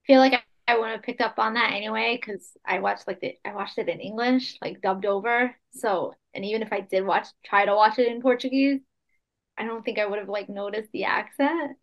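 A young woman co-hosting a podcast, chatting casually in an animated way. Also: fairly high pitch, a little fast, highs cut off. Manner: animated, laughs easily. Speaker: podcast co-host. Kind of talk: casual chat.

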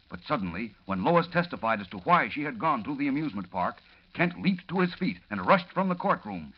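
A man's voice: mid-range voice, slightly rough. A man performing as the announcer of a 1940s radio superhero serial, narrating in a dramatic scripted style. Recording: dull old radio broadcast recording.

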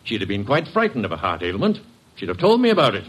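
An actor playing a distraught, suspicious widowed husband in a classic radio drama, insistent and agitated. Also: male voice, fairly low pitch, huge pitch swings, fast, a bit bright, slightly rough, full character voice. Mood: troubled.

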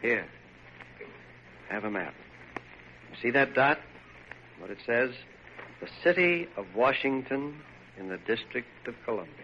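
Actor as a formal presidential aide, muffled recording. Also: male voice, mid-range voice, lively intonation, measured pace, long pauses, natural voice. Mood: respectful, proud. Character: respectful male aide bringing news to president. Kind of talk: old-time radio drama acting. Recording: noisy, band-limited old radio recording.

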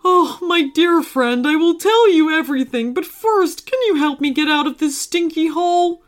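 A woman's voice: high voice, normal speed, voice pushed up, natural voice. A woman voicing a whiny, teasing, dramatic best friend in a children's story, complaining and exclaiming. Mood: exasperated.

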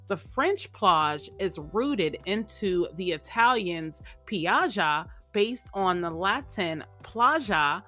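A woman's voice: mid-range voice, expressive, takes it slowly, hardly any pauses, bright, forceful tone, soft background music. A woman hosting a podcast, getting over a cold so her voice is a little off, talking in an upbeat, chatty way and repeating her phrases. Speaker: podcast host. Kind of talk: casual motivational chat and vocabulary lesson.

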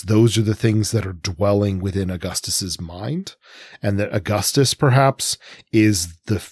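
A man's voice: on the low side, darkish tone, dry recording. A man co-hosting a podcast, offering analytical commentary as he thinks aloud, often qualifying his points. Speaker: podcast co-host.

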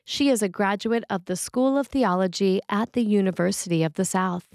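A woman preacher reading a written sermon in a measured, reflective and earnest way. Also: mid-range voice, darkish tone, dry recording, studio quality.

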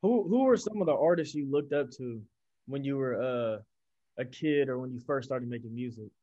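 A man's voice: medium pitch, moderate pace, dark tone, lo-fi sound. A man interviewing a rapper in a chatty way, with many "like" fillers. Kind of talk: casual interview questions.

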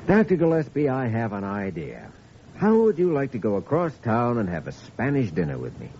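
A friendly sincere young doc on old radio, warm dark tone, really lively pitch, dull hissy sound. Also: male voice, fairly quick. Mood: coaxing, playful. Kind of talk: old radio drama acting.

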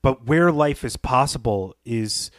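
A man co-hosting a podcast, giving reflective, measured literary analysis in a conversational style. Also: medium pitch, expressive, dry recording, clean studio sound. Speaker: podcast co-host.

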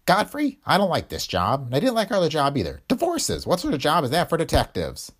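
A man's voice: fairly high pitch, wildly swooping pitch, a little fast, husky, voice pushed up, exaggerated voice. A man voicing a crafty, cackling old male detective who talks in a folksy, ungrammatical way. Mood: grumbling.

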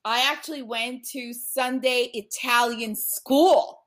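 A middle-aged woman doctor, whose first language is French, telling her story in a chatty, animated way with many fillers and restarts. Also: fairly high pitch, takes it slowly. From born in Brooklyn, raised in Montreal. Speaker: guest obstetrician. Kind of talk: casual interview chat.

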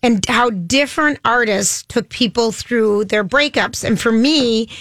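A woman co-hosting a radio show, telling long rambling stories in a chatty, loud-voiced way. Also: a little high, unhurried, dry recording. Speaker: radio co-host. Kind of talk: casual radio chat. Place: radio studio.

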